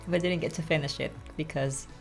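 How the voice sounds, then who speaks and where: A woman with a somewhat low voice. She chats casually and giggles often, with faint music behind her. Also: steady tone, a little slow, gravelly. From Philippines. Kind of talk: casual chat.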